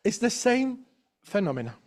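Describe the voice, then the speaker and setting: Higher-voiced man. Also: big pitch swings, normal speed. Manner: hesitant, many restarts and tag questions. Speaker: conference presenter. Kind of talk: academic conference talk with questions. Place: lecture room.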